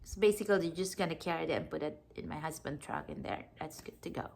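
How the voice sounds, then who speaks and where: A woman's voice: deep voice, animated, quick pace, gravelly, darkish tone, slight background noise. A woman in her thirties from the Philippines, chatting casually to camera in a rambling way, with many fillers and restarts. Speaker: vlogger.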